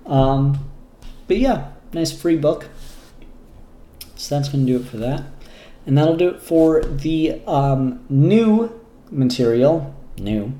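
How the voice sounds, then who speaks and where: A man hosting a casual, unscripted review show, talking in a halting way with pauses mid-sentence and casual swearing. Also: medium pitch, dark tone. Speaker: comic book reviewer and show host. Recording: roomy, echoey room sound.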